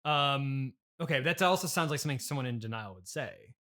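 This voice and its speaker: A man hosting an improvised comedy interview, asking halting, skeptical questions in a deadpan conversational style. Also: mid-range voice. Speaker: podcast host, interviewer.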